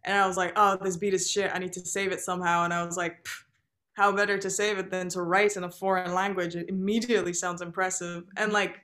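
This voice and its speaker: A young woman, a native Turkish speaker, chatting casually as a musician guest, laughing often between quick asides. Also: on the low side, little pitch movement, a bit bright. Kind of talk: casual chat.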